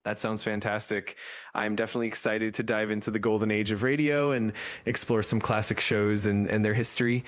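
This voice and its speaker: A male AI companion speaks in a low register with a very dry, close sound. The delivery is polite and eager, phrased formally like a helpful assistant addressing a group. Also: fairly even intonation, fairly quick, no room sound. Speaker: AI companion on a panel.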